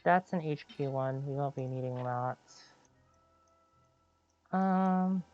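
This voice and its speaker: A woman's voice: rumbling low, slow, highs cut off. A woman streaming a game with casual live commentary, playfully muttering to herself with frequent interjections. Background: game music.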